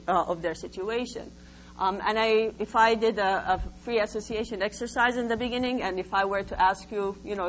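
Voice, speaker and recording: A woman researcher presenting her findings in an academic talk, explaining in a measured way with frequent hesitant pauses. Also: medium pitch, fairly bright tone. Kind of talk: academic lecture, semi-scripted. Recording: dull lo-fi sound with faint hum.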